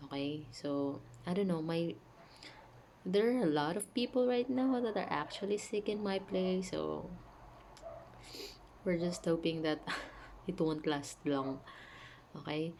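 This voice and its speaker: A woman hosting a casual spiritual talk at home, sounding sick with a mellow voice, chatty with fillers and asides. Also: lowish voice, measured pace, long pauses, a little hiss or hum, poor audio. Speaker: channel host. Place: home, dogs nearby.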